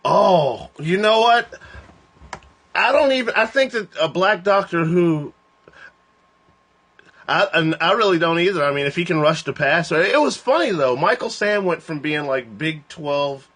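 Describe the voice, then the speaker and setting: Rambling, laughing man in his forties. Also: unhurried. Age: 40s. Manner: rambling, laughing, lively swings in pitch. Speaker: host of a livestream. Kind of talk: casual joking chat. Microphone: phone.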